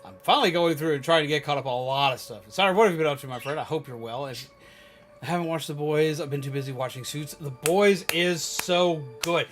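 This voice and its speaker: A male live streamer with a slightly high, very bright and forceful voice. He rambles casually to his viewers with animated emphasis and strong opinions. Music plays behind him. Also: a little fast. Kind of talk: casual rambling chat with viewers while gaming.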